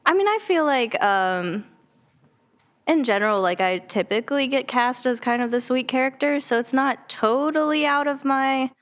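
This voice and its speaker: A woman's voice: high voice, expressive, normal speed. A woman answering questions as a guest actress on a podcast, casual, light-hearted and a little hesitant. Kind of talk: casual interview chat.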